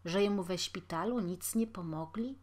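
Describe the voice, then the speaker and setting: A woman's voice: fairly low pitch, normal speed, darkish tone, close to an everyday voice. A woman voicing an elderly male landlord who mutters and grumbles indignantly.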